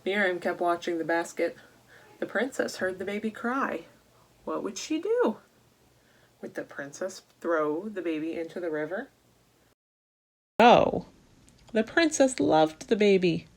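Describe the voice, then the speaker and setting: Slow, low-voiced woman reading to children. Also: very animated, poor audio. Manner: deliberate, rhetorical questions posed to young listeners. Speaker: children's storytime reader at a church. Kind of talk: read-aloud children's Bible story with asides.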